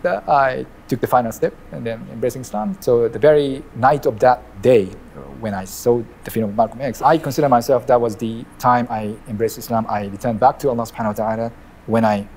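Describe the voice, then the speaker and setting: Middle-aged Japanese-accented imam, interview, measured and searching for words, medium pitch. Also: male voice, darkish tone, slight background noise. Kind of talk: interview telling his life story.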